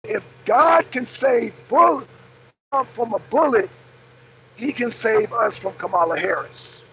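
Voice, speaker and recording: An American man with a raspy voice hosting a political talk show, giving impromptu commentary and emphatically repeating his key phrases. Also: very high-pitched, unhurried, pushed and bright. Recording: dull lo-fi audio, clear background noise.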